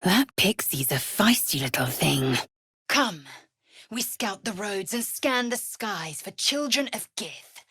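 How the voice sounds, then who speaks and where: An actress voicing a fierce, haughty young alien warrior woman in a video game, husky and breathy, with an imperious, clipped, formal manner. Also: medium pitch, lively intonation, slow, bright, forceful tone, dry recording, studio quality, exaggerated voice. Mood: stern, haughty.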